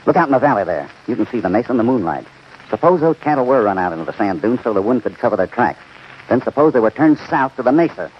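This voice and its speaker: A man's voice: mid-range voice, sing-song, fast talker, close to an everyday voice. A man playing a shrewd, decisive cowboy hero with an American accent and a clipped, commanding manner in a radio western. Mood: reasoning. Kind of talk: old-time radio western acting. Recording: noisy, muffled vintage radio recording.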